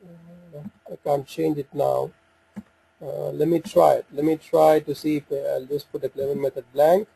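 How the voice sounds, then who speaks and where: A man giving an unscripted software walkthrough, talking through each step in a halting way as he thinks aloud. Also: fairly high pitch, little pitch movement, creaky, slightly rough, rough recording. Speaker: software demo presenter.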